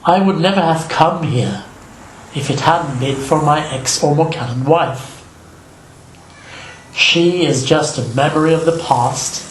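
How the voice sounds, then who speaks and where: An older man from Belgium, narrating his travel vlog in a slow, measured, reflective voice-over. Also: a little high, reverberant, a little hiss or hum, poor audio. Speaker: vlogger.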